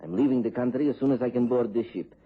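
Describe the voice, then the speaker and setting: An actor playing a formal, earnest mid-European gentleman in a radio drama, with a Central European accent. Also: medium pitch, husky, lightly acted. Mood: polite, admiring. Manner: formal, deliberate wording. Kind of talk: BBC radio drama acting. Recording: muffled tinny old radio recording.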